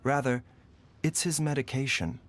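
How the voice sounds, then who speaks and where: A man playing a calm, learned herbal doctor in a video game, speaking formally and precisely with a grave, gentle manner. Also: medium pitch, moderate pace, close to an everyday voice. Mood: grave, explanatory. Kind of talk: scripted game dialogue. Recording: studio voice with music behind.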